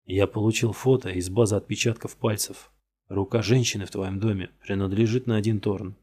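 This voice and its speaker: A worn-out detective pressing a suspect in an audiobook crime story, blunt and tired, in a low, dark, husky voice, as a man reads him. The tone stays heavy and level, with little brightness. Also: steady tone, normal speed. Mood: weary. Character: weary, hard-pressing male police detective.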